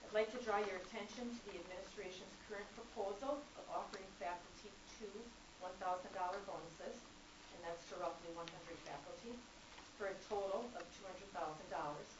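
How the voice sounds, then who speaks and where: A woman, an accounting instructor, giving a formal prepared public comment at a college board meeting in a measured, businesslike way. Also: mid-range voice, steady tone. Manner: measured, businesslike, reading figures. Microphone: speaking without a microphone. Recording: echoey distant room recording, dull, noisy.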